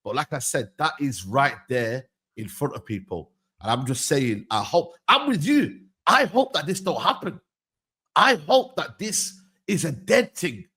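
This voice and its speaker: Animated, husky man arguing football. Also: on the high side, a little slow. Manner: insistent, slangy, repeats himself. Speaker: host of a football talk stream. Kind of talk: animated casual football debate.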